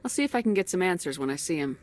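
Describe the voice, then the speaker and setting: An actress playing a calm, authoritative female commander in a video game cutscene, speaking in a firm, clipped way. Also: fairly low pitch, racing.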